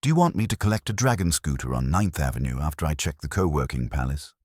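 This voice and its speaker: Male reader as streetwise investigator's assistant, detective story. Glib, sardonic, lively intonation, very low register. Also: fast, husky, no echo, clean studio sound, full character voice. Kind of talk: acted dialogue in a detective audiobook.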